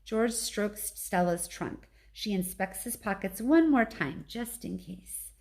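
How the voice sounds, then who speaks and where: A woman reading a children's storybook aloud as a teacher would, with animated, clearly phrased narration. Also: medium pitch, takes it slowly.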